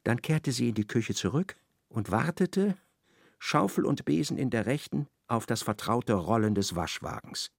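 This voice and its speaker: Guy narrating a radio drama, very animated, kinda gravelly. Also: medium pitch, normal speed, no echo, studio quality. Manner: expressive, dramatic storytelling. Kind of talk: scripted radio play narration.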